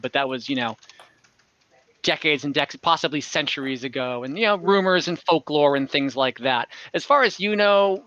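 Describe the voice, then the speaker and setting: A man narrating an online tabletop game as game master, improvising in a halting way with pauses mid-sentence. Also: medium pitch, sharp tone, lo-fi sound. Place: indoors, online voice call.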